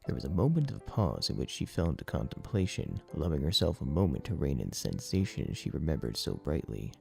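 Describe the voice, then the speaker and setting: A man narrating dramatic fiction in a solemn, deliberate storytelling manner. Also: very deep voice, big pitch swings, gravelly, dark tone. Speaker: audiobook narrator. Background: music.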